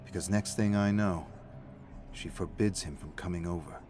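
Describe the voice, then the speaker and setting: A man's voice: deep voice, moderate pace. A man reading a story aloud as a scripted, dramatic narrator. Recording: music playing behind the voice. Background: faint background music.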